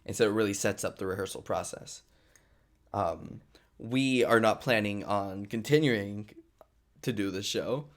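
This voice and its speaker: A man's voice: fairly low pitch, measured pace. A man casually hosting an online table read, chatting and explaining things to viewers. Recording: online group call livestream.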